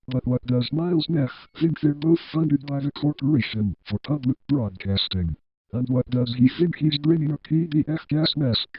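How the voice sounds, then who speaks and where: A man's voice: on the high side, normal speed, breathy edge, no echo. A man playing a stubborn, contrary contestant in a comedy sketch, giving insistent, clipped answers. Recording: dull lo-fi audio.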